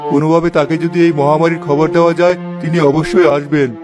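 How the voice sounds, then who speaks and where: A man's voice: on the high side, little pitch movement, quick pace, music in the background, lightly acted. A man voicing a humble, anxious village healer in a cartoon, explaining deferentially. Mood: anxious, pleading. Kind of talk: Bengali cartoon voice acting.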